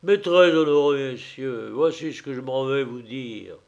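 Storybook bully in a mask: loud, jeering, commanding, read by a man. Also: mid-range voice, expressive, a little slow, creaky. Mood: rowdy. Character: rowdy drunk masked man, bossy and mocking.

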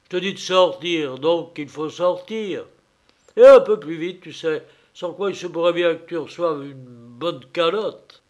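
Storybook bully in a mask: loud, jeering, commanding, read by a man. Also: a little high, unhurried, pitched above the performer's own voice, natural voice. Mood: rowdy. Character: rowdy drunk masked man, bossy and mocking.

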